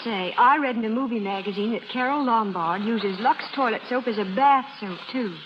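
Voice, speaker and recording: An actress as an eager, higher-voiced young fan. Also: female voice, normal speed, close to an everyday voice. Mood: excited. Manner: chatty, eager. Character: excited young female movie fan sharing gossip. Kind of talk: 1930s radio advertisement acting. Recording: muffled, reverberant, noisy 1930s radio broadcast.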